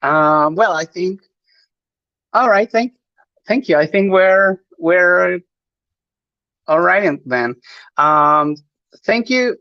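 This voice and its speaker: A native Spanish speaker from Chile, with a clear Chilean accent, talks slowly through a live online panel. The delivery is hesitant, full of fillers, restarts and small nervous laughs. The sound is dry and dull, like lo-fi stream audio. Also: mid-range voice, fairly bright tone, no room sound.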